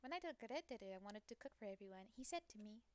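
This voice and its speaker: A woman streamer reading a visual novel aloud in an expressive storytelling style. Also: medium pitch, quick pace. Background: game music.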